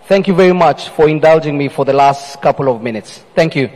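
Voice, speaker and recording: A male moderator closes out a session from the stage, upbeat and smooth. His voice sits high for a man. It carries a long reverb from the large hall. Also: a little fast, darkish tone. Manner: upbeat, polished wrap-up. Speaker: moderator and host. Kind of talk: conference stage hosting.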